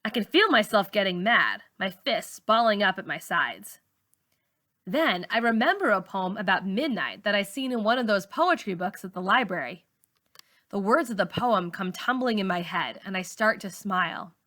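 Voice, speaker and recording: Bright-voiced woman reading a story aloud. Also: mid-range voice, animated, moderate pace. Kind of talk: expressive storybook narration.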